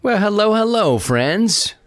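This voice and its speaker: A man's voice: high-pitched, sing-song, slow, noisy background. A man with an American accent hosting a podcast, chatting casually and rambling in a folksy way to his listeners. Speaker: podcast host. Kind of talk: casual solo chat to listeners.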